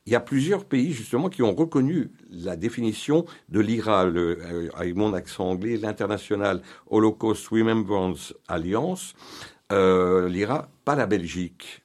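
Low-voiced halting male interviewer. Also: lively intonation, a little mellow. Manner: halting, rephrases as he goes. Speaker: interview host. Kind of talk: informal, conversational interviewing.

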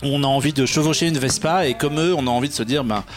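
A man's voice: mid-range voice, quick pace, faint music behind. A man co-hosting a podcast, giving a casual, slangy film review and often searching for his words. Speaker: podcast co-host reviewing films.

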